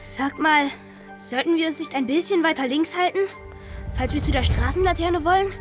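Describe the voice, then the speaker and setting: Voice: high voice, moderate pace, over music, lightly acted. A young performer playing a sulky, spiteful younger brother who grumbles, in an audio drama.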